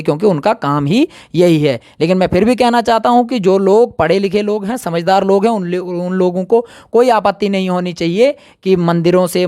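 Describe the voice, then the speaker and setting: A man's voice: sits high, darkish tone, no echo, studio quality. A man giving his opinion in an impromptu interview, speaking emphatically and repeating his points. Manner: emphatic, repetitive.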